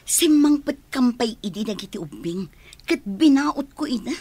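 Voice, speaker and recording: A woman's voice: a little high, animated, normal speed, darkish tone, breathy edge, no room sound. An actress voicing a defensive, complaining stepmother in a radio drama.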